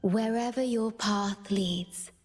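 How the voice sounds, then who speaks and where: A gentle young guardian woman from a fantasy game, devoted and soft-spoken. Her delivery is slow and measured, with a husky, breathy softness. Music plays faintly behind her. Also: mid-range voice. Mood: tender, devoted. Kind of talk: scripted video game story dialogue.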